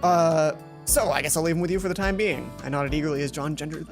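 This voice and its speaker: Female game streamer, low register, reads story text with offhand asides, music clearly behind. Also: quick pace. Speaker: let's play host. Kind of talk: reading game narration aloud with casual asides. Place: closed hot room at home.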